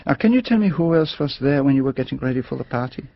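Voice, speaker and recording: An actor playing a courteous, probing older foreign detective in a radio mystery, speaking in formal, precise, measured questions. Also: male voice, medium pitch, lively intonation, dry recording. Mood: inquisitive, calm. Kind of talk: radio mystery drama acting. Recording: dull, lo-fi radio drama recording.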